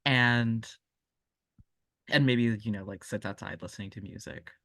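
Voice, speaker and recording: A man's voice: lowish voice, unhurried, gravelly, pushed and bright, no room sound, studio quality. A man playing a tabletop game, casually and tentatively talking through his character's choices. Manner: tentative, thinking aloud.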